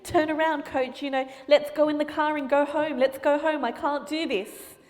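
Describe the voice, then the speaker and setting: A woman's voice: high-pitched, a little fast, big-room sound, voice pushed up, natural voice. A woman doing an eager young karate boy. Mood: pleading. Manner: pleading, breathless excitement.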